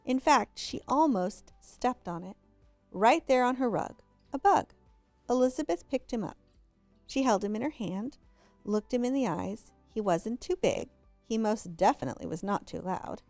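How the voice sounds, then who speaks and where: A woman's voice: a little high, darkish tone, dry recording. A woman reading a children's story aloud expressively, drawing out words for emphasis. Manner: draws out and stresses words for emphasis. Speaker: storybook reader.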